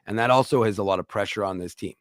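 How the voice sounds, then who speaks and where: A man's voice: lowish voice, fast, dry recording, studio quality. A man co-hosting a hockey talk show, giving emphatic, opinionated takes in casual chat.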